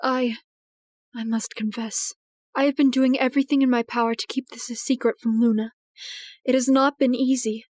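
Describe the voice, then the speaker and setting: A woman voicing a regal, protective pony princess in an audio drama, speaking formally and earnestly with measured, anxious gravity. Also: a little high, level tone, a little mellow, no echo, natural voice. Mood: earnest, troubled. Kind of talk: dramatized audio fiction reading.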